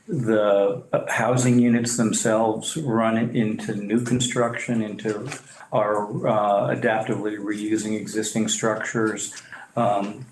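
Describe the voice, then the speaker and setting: A developer presenting to a public board meeting, halting with many fillers and restarts, clearing his throat as he loses his voice. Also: on the low side. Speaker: property developer. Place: meeting room. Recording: echoey room, faint noise.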